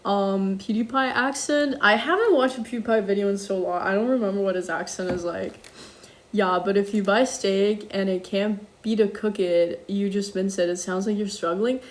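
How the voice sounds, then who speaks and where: A woman's voice: mid-range voice, moderate pace, slight background noise. Chatty young woman streamer cooking. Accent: international-school English with slight German touches. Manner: chatty, self-deprecating, frequent ums and apologies. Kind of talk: casual live-stream chat while cooking, reading comments.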